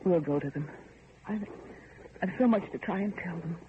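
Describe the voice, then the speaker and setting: A woman's voice: on the low side, normal speed, close to an everyday voice. An anguished, earnest heiress, played by an actress speaks through a narrow, tinny vintage radio recording. Her delivery is theatrical and full of feeling, with faint noise underneath. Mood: fervent. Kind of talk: old-time radio drama acting.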